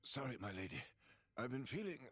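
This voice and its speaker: Husky, deferential retainer. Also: male voice, mid-range voice, big pitch swings, fairly quick, a bit bright, no room sound. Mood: weary deference. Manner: formal, polite. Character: deferential, weary male retainer.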